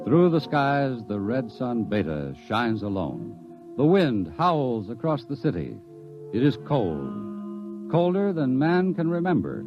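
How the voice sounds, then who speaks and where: An actor playing a grave narrator of a radio drama, telling of doom in portentous, measured phrases. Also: male voice, medium pitch, huge pitch swings, music in the background, heavily acted. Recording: dull lo-fi radio recording.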